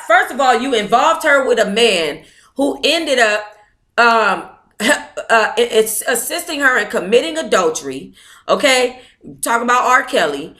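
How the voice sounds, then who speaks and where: A woman's voice: on the high side, bright, forceful tone. A woman hosting an online book club, reading aloud and commenting in a blunt, informal, opinionated way.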